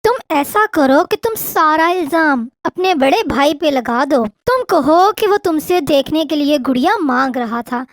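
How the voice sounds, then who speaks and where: A woman's voice: high voice, lively intonation, unhurried, few pauses, dry recording, clean studio sound. A woman voicing a sly young female pigeon friend who gives coaxing advice in a Hindi moral cartoon. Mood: scheming.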